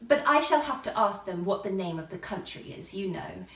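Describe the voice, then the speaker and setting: A woman's voice: mid-range voice, lively intonation, moderate pace, a bit bright, echoey room, recorded from a distance. A woman voicing a curious, chatty little girl who muses aloud to herself in an earnest, dreamy way in a storybook reading. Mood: wondering, chatty. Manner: musing aloud to herself, wondering.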